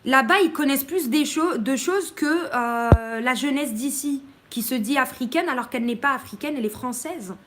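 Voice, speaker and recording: High-voiced, outspoken woman streamer. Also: normal speed, few pauses, slight background noise. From Morocco. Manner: outspoken and blunt, with many hesitations. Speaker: live streamer addressing viewers. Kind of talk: opinionated live-stream monologue.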